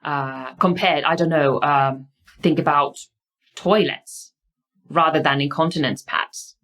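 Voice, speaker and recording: A woman with a Finnish accent gives a lecture in a low voice. She talks thoughtfully and often pauses on fillers and restarts her phrases as she searches for the words. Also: steady tone, fairly bright tone. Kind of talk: explaining lecture.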